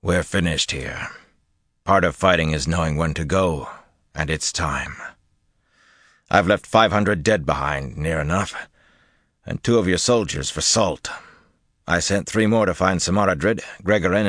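A man's voice: sits low, normal speed, a bit bright, no room sound. A man puts on a seasoned general in a fantasy audiobook. He is weary and wry, talking bluntly like an old campaigner, in a dry, close sound. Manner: blunt, wry soldier's talk.

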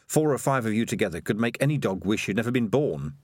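A man's voice: lowish voice, lively intonation, fast talker, no room sound. Storybook fighting rat chief, played by a male reader: scornful, commanding, battle-hardened, dry close sound. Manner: commanding, defiant. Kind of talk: audiobook character voice.

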